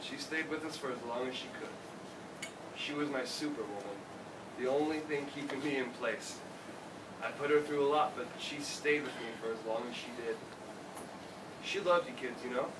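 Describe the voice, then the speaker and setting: A man's voice: mid-range voice, moderate pace, pauses often, natural voice. A man playing a playful, sentimental old father with a teasing manner in a staged play reading. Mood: wistful. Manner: teasing, chuckling. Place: theater stage, recorded from the audience. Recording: distant, echoey, noisy live theater recording.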